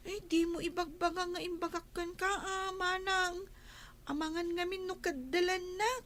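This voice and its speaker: A woman's voice: very high voice, steady tone, moderate pace, a bit bright. A woman with an Ilocano accent voicing a hesitant, pleading young village child in a comedy radio drama. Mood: nervous, pleading.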